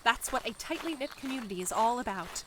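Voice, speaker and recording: A genteel, composed woman mayor played by an actress in an audio drama, speaking in a fairly high voice with motherly politeness. There is faint noise behind her measured words. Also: animated, close to an everyday voice, rough recording. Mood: composed hospitality. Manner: genteel, calls listener dear, measured.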